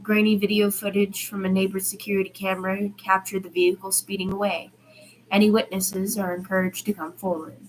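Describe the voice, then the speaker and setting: A woman's voice: medium pitch, flat delivery, brisk, a little hiss or hum, rough recording. A woman narrating a story as an amateur audiobook reader, informal, with the occasional small stumble. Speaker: podfic narrator. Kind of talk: amateur fiction reading with character dialogue.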